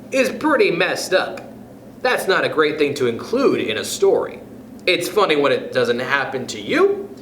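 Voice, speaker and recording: Animated, slow-paced male children's storyteller. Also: fairly high pitch. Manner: dramatic, deliberate phrasing with pauses. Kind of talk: expressive read-aloud storytelling for children. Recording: echoey room with background noise.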